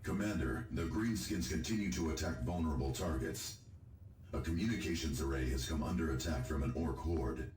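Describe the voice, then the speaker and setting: Very deep, echoing tech officer. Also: male voice, a little mellow, a little hiss or hum. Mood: concerned. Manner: formal, measured. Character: solemn, dutiful male military tech officer. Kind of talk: video game briefing.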